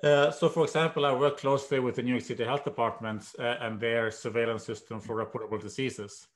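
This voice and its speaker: Older Swedish-accented man, measured. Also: mid-range voice, fairly even intonation. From Sweden. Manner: measured, hesitant with many "uh"s. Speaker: guest professor. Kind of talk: conversational interview. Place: home room. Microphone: video call.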